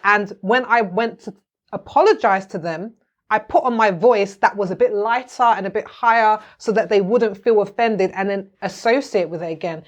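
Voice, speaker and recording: A woman's voice: mid-range voice, normal speed. A British woman speaking candidly and reflectively in a podcast conversation. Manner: earnest, reflective.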